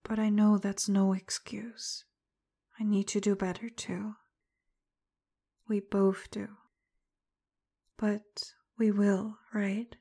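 Breathy whispering woman, low husky fry. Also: little pitch movement, slow pace, long pauses. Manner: apologetic, tender, soft-spoken; frustrated and confrontational at first. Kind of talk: roleplay audio addressed to the listener.

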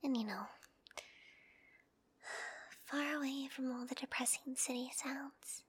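A young woman whispering softly in an audio roleplay to the listener, giggly and intimate, close to the mic. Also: high voice, fairly even intonation, takes it slowly, slight background noise. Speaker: roleplay performer.

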